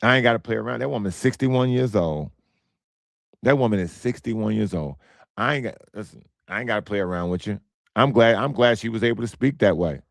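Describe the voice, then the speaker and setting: A man hosting his own podcast in casual, blunt commentary, repeating himself, with a hoarse, strained voice as he loses it. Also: lowish voice, a little fast, no echo, studio quality. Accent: American. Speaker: podcast host.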